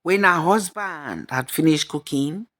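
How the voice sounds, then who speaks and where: Female storyteller for folktale narration, reading at a markedly slow, measured pace. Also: sits low, lively intonation, fairly bright tone.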